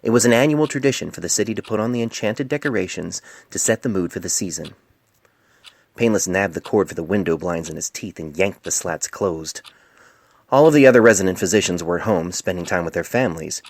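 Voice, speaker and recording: Male amateur narrator, low-pitched, reading prose fiction into a phone mic with a dry, close sound. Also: expressive, a little fast, no echo. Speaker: author narrating own story. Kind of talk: scripted fan fiction narration. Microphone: cell phone microphone.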